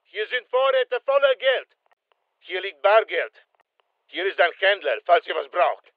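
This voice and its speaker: Terse military game soldier, clipped orders, really dry close sound, thin phone-like band. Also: male voice, high-pitched, big pitch swings, unhurried, no room sound. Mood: terse, businesslike. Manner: clipped, commanding short callouts. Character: urgent, terse male special forces soldier. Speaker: video game voice actor. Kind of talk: military shooter callouts.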